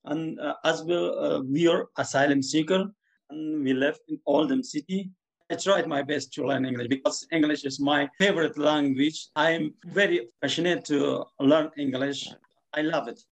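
A man from Afghanistan speaks with a gravelly, fry-edged voice. He answers as a student, halting often with fillers but staying earnest. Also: a little high, poor audio. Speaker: ESOL student. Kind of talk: podcast interview answers.

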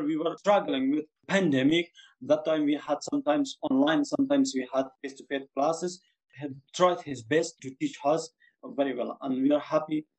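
Gravelly man with halting speech. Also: mid-range voice, a little mellow, rough recording. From Afghanistan. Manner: earnest, halting with frequent fillers. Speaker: ESOL student. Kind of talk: podcast interview answers.

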